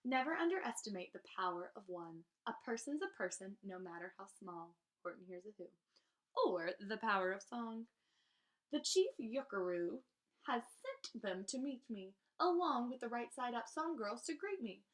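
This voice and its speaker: A woman with a fairly high voice reads rhyming children's verse aloud like a teacher. Her pitch moves in big, lively swings. She delivers lines theatrically, stressing and stretching key phrases. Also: normal speed. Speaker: teacher reading aloud to students.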